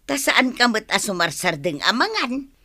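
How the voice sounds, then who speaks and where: A woman with a northern Filipino accent playing a hardworking, expressive village wife and vendor in a comedic radio drama. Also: on the high side, normal speed, full character voice. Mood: nagging. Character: hardworking, nagging village wife selling rice cakes.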